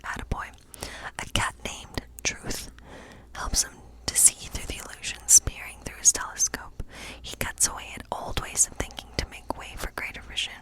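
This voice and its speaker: A woman whispering gently and breathily in an ASMR role play as a kindly witch who reads tarot. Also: poor audio. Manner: gentle, slow, soothing reassurance. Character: kindly witch reading tarot in her woodland cottage. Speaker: ASMR performer playing a witch.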